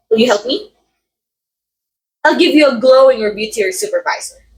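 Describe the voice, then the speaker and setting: A woman's voice: on the high side, poor audio. A woman playing a vain, murderous method actress in an audio roleplay, coy, theatrical and condescending, speaking straight to the listener. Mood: coy, imperious. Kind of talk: ASMR roleplay acting, addressing the listener.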